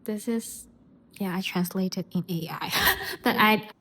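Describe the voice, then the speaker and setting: A woman from Hong Kong hosting a casual podcast, speaking in a friendly, unhurried way with loose phrasing and small slips. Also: medium pitch, fairly bright tone. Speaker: podcast host.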